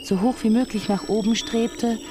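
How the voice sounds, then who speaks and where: A woman's voice: a little high, fairly even intonation, normal speed. A woman narrating a scripted radio drama in literary prose. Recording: studio voice over clear music.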